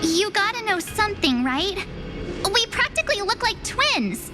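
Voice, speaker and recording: A woman playing an earnest, vulnerable young girl in a video game, with an expressive, girlish, pleading delivery. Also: very high-pitched, measured pace, exaggerated voice. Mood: pleading, curious. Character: earnest, vulnerable teenage girl, protective of friends. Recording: music under the voice. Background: game music.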